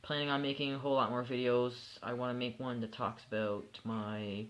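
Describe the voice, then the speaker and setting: A man's voice: mid-range voice, moderate pace. Sleepy, candid young man vlogging. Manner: relaxed, candid, with frequent fillers and restarts. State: sleepy at bedtime. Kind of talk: casual personal vlog.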